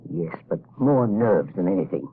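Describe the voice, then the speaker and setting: Shifty professor, husky gravelly voice, lively stammering delivery, tinny radio. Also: male voice, low-pitched, moderate pace, heavily acted. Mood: evasive. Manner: stammering, evasive. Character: nervous, shifty male professor, a husband. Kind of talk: 1930s radio drama acting. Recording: tinny 1930s radio broadcast.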